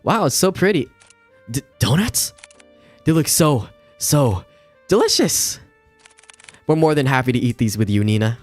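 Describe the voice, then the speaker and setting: A man voicing an eager, polite younger male assistant in a visual novel, courteous and excitable. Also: mid-range voice, unhurried, long pauses, voice pushed up, close to an everyday voice. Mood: awed. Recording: music behind the voice.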